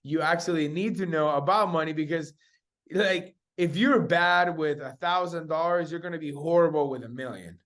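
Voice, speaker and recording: Young male guest, slightly high voice, casual and slangy, bro-heavy personal storytelling. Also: moderate pace, a bit bright. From Spain. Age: young adult. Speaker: interview guest, entrepreneur.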